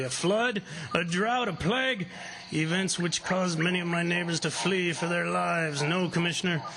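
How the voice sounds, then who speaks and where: A man playing a proud, stubborn plantation owner in a radio drama, calm and declamatory with total self-assurance. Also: sits high, a little hiss or hum, poor audio. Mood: proud, defiant. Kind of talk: radio drama acting and first-person narration. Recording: radio drama with music underneath.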